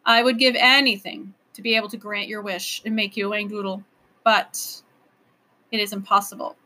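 A woman's voice: medium pitch, flat delivery, moderate pace, bright, forceful tone, rough recording. A woman voicing an earnest, apologetic male professor in a storybook, hesitant and pleading as he explains. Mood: bewildered, apologetic.

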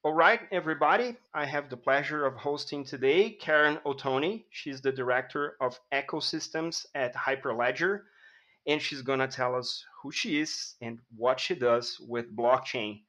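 A man's voice: medium pitch, moderate pace, pushed and bright. A man hosting a podcast in a welcoming, upbeat manner. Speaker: podcast host. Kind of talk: podcast host introduction.

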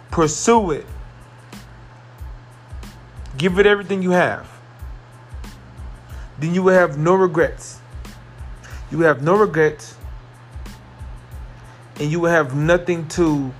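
Man hosting a motivational podcast, fairly high voice with a carrying tone, soft music underneath. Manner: earnest, repetitive, building to excited emphasis. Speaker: podcast host. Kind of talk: motivational solo podcast monologue.

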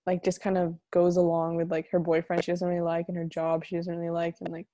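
Young woman, about twenty, casual podcast co-host; mellow tone, dry close mic. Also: on the low side, monotone, no room sound. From United States. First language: Punjabi. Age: 20s. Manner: chatty, quick, laughing often. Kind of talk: casual chat.

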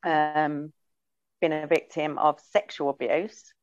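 A woman guest on a podcast sharing her personal story. She speaks softly and hesitantly, with frequent ums. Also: sits low, steady tone, slow, dry recording, lo-fi sound.

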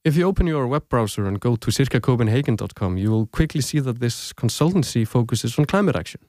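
A young man with an Icelandic accent, a native Icelandic speaker, narrating a scripted podcast as its host in a measured, reflective storytelling way. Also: medium pitch, lively intonation, mellow, dry recording, studio quality. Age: young adult.

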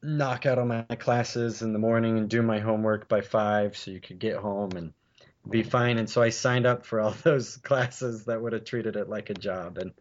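A man in his forties with a bass voice, chatting and reminiscing with old friends, laughing often and restarting his sentences. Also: fairly even intonation, moderate pace, muffled recording. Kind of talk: casual chat.

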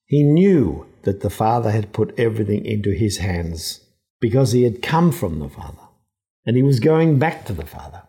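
A man giving an explanatory religious reflection as a priest and professor, speaking in a deliberate, emphatic, teacherly way. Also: on the low side, big pitch swings, warm tone.

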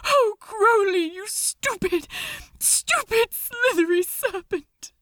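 A woman's voice: extremely high pitch, expressive, slow pace, a bit bright, no room sound, studio quality, voice pushed up, exaggerated voice. A woman voicing a tender, fussy male angel in a story reading, with overwrought, emotional exclamations. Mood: tearful scolding.

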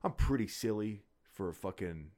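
A man's voice: on the low side, animated, unhurried, darkish tone. A man in his thirties chatting casually in a rambling, informal, sweary way, like a podcast co-host. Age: 30s. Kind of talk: casual chat, profane.

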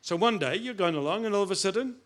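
A man's voice: high-pitched, lively intonation, quick pace, a bit bright. A middle-aged male pastor giving a conversational sermon, reading scripture and joking at his own expense, with frequent restarts and repeated words.

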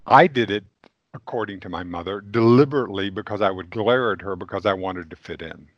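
A man born in Mexico hosting a casual interview, asking questions in a deliberate way with stumbling restarts. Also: lowish voice, no echo, dull audio. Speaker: interview host.

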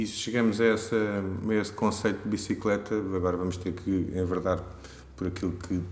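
A man with a very low voice asks long, rambling questions, often repeating himself as he searches for words. The room around him is echoey. A faint background noise runs under his voice. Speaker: interviewer, host. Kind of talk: casual interview questions.

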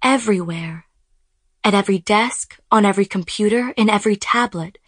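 A woman voicing a calmly menacing artificial intelligence in a radio drama, with measured, announcing delivery. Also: medium pitch, no room sound, clean studio sound, close to an everyday voice. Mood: calm, controlling. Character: all-seeing office software, calmly menacing female artificial intelligence.

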